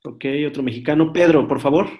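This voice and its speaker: A man leading an online workshop on a video call, briskly calling on each participant in turn. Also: fairly high pitch, a little mellow. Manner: brisk, calls on participants one by one. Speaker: workshop instructor.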